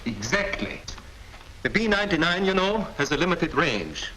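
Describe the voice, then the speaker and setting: A suave wartime German major conducting an interrogation. His speech is courteous and condescending, swinging freely in pitch. The sound is an old 1940s film track with clear background noise. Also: very animated, normal speed, sharp tone, poor audio. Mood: shrewd, explaining.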